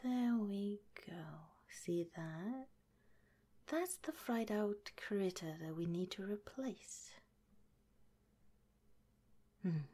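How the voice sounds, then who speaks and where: A woman voicing a gentle, caring female head scientist of a secret underground lab, speaking in a soft, slow whisper. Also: medium pitch, animated. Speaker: ASMR roleplay performer. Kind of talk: ASMR roleplay.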